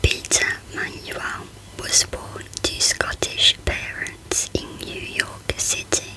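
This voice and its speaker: A woman hosting a true crime channel, reading out a case in a hushed ASMR whisper. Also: slow, low-quality recording.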